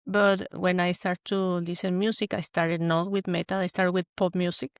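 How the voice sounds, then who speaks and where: A woman from Mexico, a guest chatting casually and halting as she searches for words. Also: on the low side, flat delivery, clear, resonant tone, no echo, clean studio sound. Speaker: guest, metal journalist. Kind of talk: casual chat.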